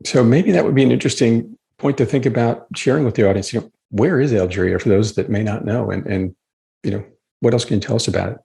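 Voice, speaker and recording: A man's voice: mid-range voice, fast talker, creaky, warm tone. A man hosting a podcast, reading an upbeat scripted introduction and then chatting with his guest. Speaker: podcast host.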